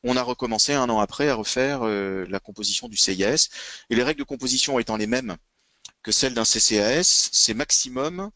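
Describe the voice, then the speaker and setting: A man's voice: medium pitch, a little fast, bright, forceful tone, no echo. A man giving methodical, didactic explanations in formal terms, as an expert guest in a web conference. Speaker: guest expert in local social services administration.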